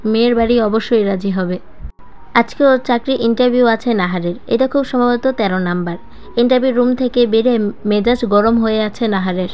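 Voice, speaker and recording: Female story narrator, higher voice, for serial romance fiction; slightly dull lo-fi track with music underneath. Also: darkish tone. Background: background music.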